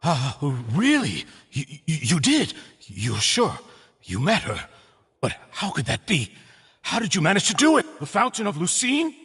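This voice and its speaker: Desperate convict, wildly swinging pitch. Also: male voice, wildly swooping pitch, measured pace, fairly bright tone, husky, long reverb tail, full character voice. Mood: stunned, pleading. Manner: stammering, pleading. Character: desperate, pleading male convict, obsessed and haunted. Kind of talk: anime game voice acting.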